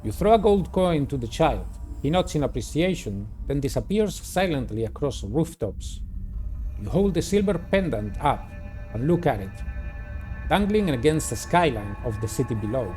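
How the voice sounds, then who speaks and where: Higher-voiced man reading over background music. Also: sing-song, moderate pace, dark tone. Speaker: video game player and host. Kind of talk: reading a visual novel aloud with casual commentary. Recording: music playing behind the voice.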